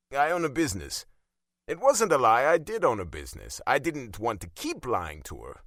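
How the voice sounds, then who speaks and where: Wry male audiobook narrator, very lively pitch. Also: no echo, clean studio sound. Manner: wry, self-deprecating, conversational. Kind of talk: first-person romance audiobook narration.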